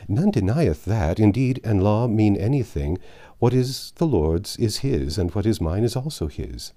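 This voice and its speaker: A man's voice: on the low side, animated, a little slow, dark tone. A man reading an audiobook as a grieving, starving peasant mother, telling of hardship in impassioned, archaic speech. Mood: bitter. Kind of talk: dramatic audiobook character reading.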